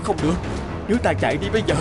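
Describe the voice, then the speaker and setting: Casting: jealous village bully husband, animated folktale, scolding, very lively intonation, music behind. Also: male voice, high-pitched, rapid-fire. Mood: panicked. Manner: blustering, scolding, threatening.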